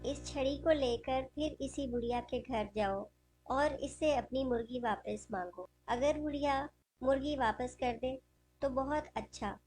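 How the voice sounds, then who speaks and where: A woman's voice: high voice, a little slow, a little mellow, soft background music, rough recording. A woman voicing a stern, commanding fairy in a cartoon moral story. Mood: instructing.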